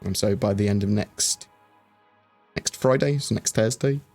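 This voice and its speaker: A man's voice: lowish voice, measured pace, gravelly, darkish tone, soft background music. Chatty man answering livestream chat. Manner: chatty, reads viewer questions aloud and answers with many fillers. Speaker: streamer answering chat questions. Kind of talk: casual livestream Q&A.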